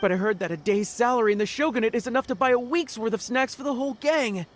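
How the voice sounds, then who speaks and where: A woman's voice: fairly high pitch, fast, a little hiss or hum, natural voice. A woman voicing an eager, anxious young gang underling in a video game, earnest and exclamatory. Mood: worried. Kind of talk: animated video game voice acting. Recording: music behind the voice.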